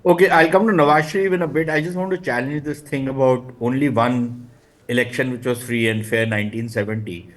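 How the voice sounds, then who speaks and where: A man's voice: mid-range voice, fairly even intonation, fairly quick. A man with an Indian accent giving blunt, scornful, opinionated analysis on a panel, arguing point by point. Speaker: political commentator on a panel.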